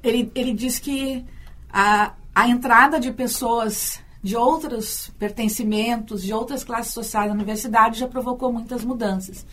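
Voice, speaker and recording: A woman's voice: on the high side, fairly even intonation, unhurried, a bit bright. A Brazilian woman, a university teacher and storyteller, answering in a radio interview, thoughtful and often repeating words as she thinks.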